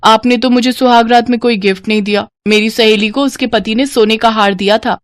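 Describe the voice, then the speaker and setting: A woman's voice: on the high side, steady tone, brisk, no echo, lightly acted. A woman voicing a greedy, sulky young wife in a moral story, whiny, insistent and dramatic. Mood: petulant, demanding.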